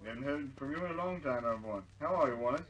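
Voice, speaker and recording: An actor playing a genial, hesitant British aircraft designer in a vintage radio drama, heard as a thin, raspy voice over a telephone. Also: male voice, mid-range voice, lively intonation, fast talker, fairly bright tone, muffled recording. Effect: distant telephone voice.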